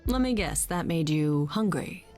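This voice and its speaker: A woman's voice: on the low side, lively intonation, measured pace, a little mellow, soft background music. An actress playing a brash, distrustful female sidekick in a video game, with punchy, colloquial, sarcastic delivery. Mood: accusing, sarcastic.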